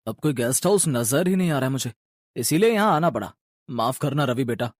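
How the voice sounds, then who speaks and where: A man's voice: mid-range voice, lively intonation, fairly quick, no echo, studio quality, voice pushed down, natural voice. A man playing a weary middle-aged father in a horror story, soothing and firm. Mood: apologetic.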